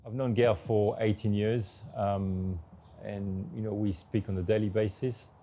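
Flat, mellow-voiced man, full of fillers. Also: lowish voice, unhurried, faint music behind. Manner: hesitant, many fillers and restarts. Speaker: tennis tournament director. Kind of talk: interview answers.

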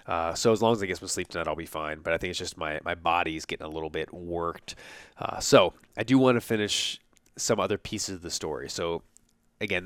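A man in his thirties with a stuffy nose speaks in a low, smooth, resonant voice. He tells a personal story slowly, halting and restarting his sentences. The sound is dry and close to the mic. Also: a bit bright, dry recording. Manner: halting, many restarts and fillers. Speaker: podcast host.

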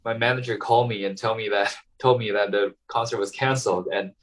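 Hesitant young man, somewhat low. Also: steady tone, fairly quick, distant mic. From Taiwan. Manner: hesitant, many ums and restarts. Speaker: violinist guest. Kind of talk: casual interview answers.

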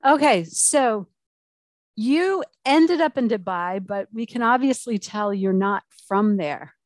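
A woman's voice: a little high, expressive, slow pace, no room sound. A woman hosting an interview podcast, asking curious, friendly questions. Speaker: podcast host.

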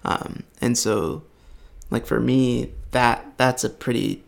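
Gravelly man musing in rambling talk. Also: medium pitch, takes it slowly. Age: 30s. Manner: rambling, reflective, filler-heavy. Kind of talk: casual conversation.

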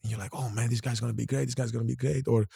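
A man's voice: mid-range voice, racing, gravelly, slightly rough, no room sound, clean studio sound. A man in his thirties from Greece, with a Greek accent, hosting a casual interview and rambling through his stories with frequent restarts. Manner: relaxed, rambling, restarts often. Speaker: podcast host.

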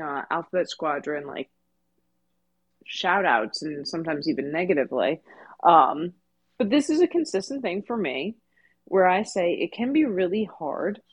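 Slightly low-pitched woman podcast co-host. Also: breathy edge. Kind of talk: casual book discussion.

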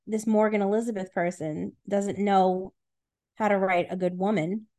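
A woman's voice: mid-range voice, steady tone, normal speed, warm tone. A woman co-hosting a podcast, speaking bluntly and slangily as she shares strong opinions in casual chat. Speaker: podcast co-host. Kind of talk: casual book-review chat.

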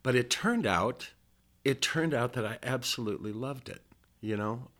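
A man's voice: mid-range voice, normal speed, a bit bright. A man in his sixties telling his life story in an interview, rambling and wandering off the subject as he talks. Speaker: interview guest, musician. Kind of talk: casual reminiscing interview.

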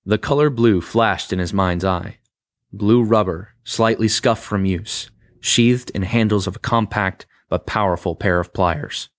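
A man reading a scripted horror story aloud with measured, dramatic pacing. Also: lowish voice, no room sound, clean studio sound. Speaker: story reader.

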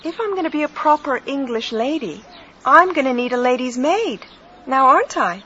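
An actress playing an earnest, gracious young bride in a radio drama, with a deliberate, heartfelt manner. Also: female voice, high voice, animated, bright, forceful tone, slight background noise. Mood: happy, gracious. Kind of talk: period radio drama acting. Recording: dull recording with music behind. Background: music.

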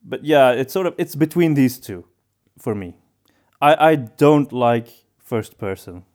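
A man's voice: medium pitch, expressive, unhurried, darkish tone. A man in a casual podcast discussion, feeling his way through his thoughts with repeated and rephrased words. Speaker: podcast co-host.

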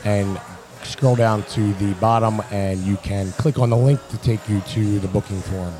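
A man's voice: lowish voice, steady tone, normal speed, music in the background. A man hosting a casual talk show, bantering loosely with his co-hosts, swearing now and then. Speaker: show host.

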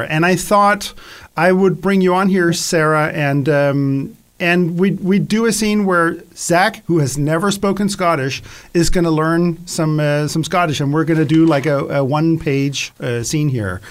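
Rambling man with a higher voice. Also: smooth. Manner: rambling, restarts a lot. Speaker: host. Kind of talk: casual podcast chat.

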